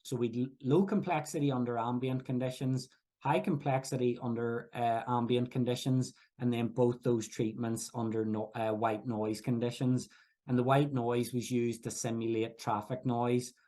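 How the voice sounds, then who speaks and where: A man from Belfast with a Belfast accent, presenting research at a workshop in a halting, explanatory way with many restarts. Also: mid-range voice, flat delivery, dark tone. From Northern Ireland. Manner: hesitant, frequent fillers and restarts, explanatory. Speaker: researcher giving a workshop talk. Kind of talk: academic presentation.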